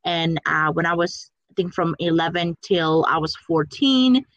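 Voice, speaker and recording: A woman's voice: fairly low pitch, lively intonation, unhurried, no echo, lo-fi sound. Dry-sounding young woman career coach. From Dominican Republic. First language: Spanish. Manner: enthusiastic, fillers and restarts. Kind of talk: interview answers.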